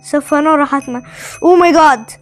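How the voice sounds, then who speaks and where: A woman with an Egyptian Arabic accent giving playful, excitable gameplay commentary as a video host, often repeating words and phrases. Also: sits high, fast, soft background music.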